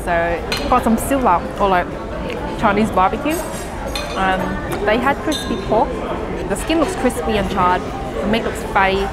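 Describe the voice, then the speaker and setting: A young woman giving frank, plain verdicts on buffet dishes, filmed at arm's length in a big restaurant. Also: mid-range voice. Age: young adult. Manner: frank, weighs each dish plainly. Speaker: food vlogger. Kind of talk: casual food review to camera. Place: large indoor buffet restaurant. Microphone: handheld camera at arm's length.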